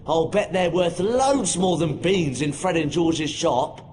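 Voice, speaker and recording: A young man with a British accent playing a nervous, eager teenage boy wizard in a video game, hesitant and excitable. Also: a little high, slow pace, very noisy. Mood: excited. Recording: loud game music behind voice.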